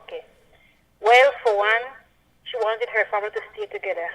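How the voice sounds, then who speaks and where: A woman's voice: a little high, steady tone, normal speed, fairly bright tone. A woman with a Belizean accent casually giving an opinion as a phone-in caller, heard over a telephone line. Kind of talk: casual opinion on a call-in show.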